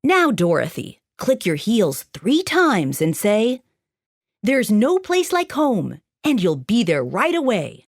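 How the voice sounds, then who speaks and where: A woman's voice: medium pitch, wildly swooping pitch, takes it slowly, no echo, clean studio sound, heavily acted. A woman voicing a kindly, encouraging man posing as a wizard in a children's storybook, reassuring and generous.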